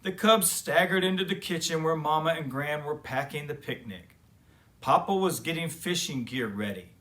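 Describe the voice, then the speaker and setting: A man reading a children's story aloud as expressive narration. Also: on the high side, moderate pace. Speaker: children's story reader and host.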